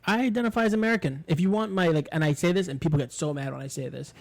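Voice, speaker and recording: A man's voice: a little high, racing, vocal fry. Opinionated New York man, casual chat. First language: English. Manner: swearing, opinionated, rambling with restarts. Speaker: podcast co-host.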